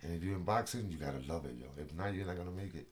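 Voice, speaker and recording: A middle-aged man from Puerto Rico, a boxing coach chatting casually in an interview, animated and joking with frequent laughs. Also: low voice, rapid-fire.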